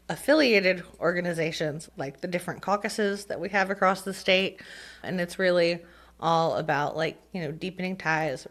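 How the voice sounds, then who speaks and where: Woman cohosting a political podcast, kinda low voice, lots of ums and laughs. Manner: chatty, laughs often, many "um" fillers. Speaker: podcast co-host. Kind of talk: casual political chat.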